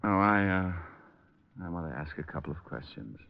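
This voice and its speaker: An actor playing a wisecracking, unflappable tough private detective with a wry, teasing manner, in mid-century radio drama style. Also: male voice, sits low, expressive, measured pace, vocal fry, exaggerated voice. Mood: smooth, probing. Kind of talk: 1940s-50s radio detective acting and narration. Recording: muffled old radio recording, music behind.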